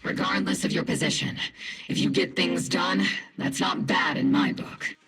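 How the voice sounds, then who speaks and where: A woman playing a gruff, seasoned military captain in a video game, with a gravelly voice and a dry, guarded, authoritative manner. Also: on the low side, normal speed, a bit bright, full character voice. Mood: guarded, stern. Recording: music behind the voice.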